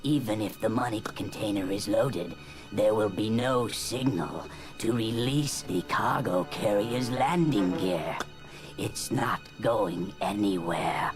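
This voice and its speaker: An actress playing a cold, gloating villain in a space opera audio drama, with a menacing, sneering delivery. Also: female voice, extremely low pitch, slow, music in the background. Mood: smug, menacing. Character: cold gloating villain Cipher, outlaw boss with a blaster.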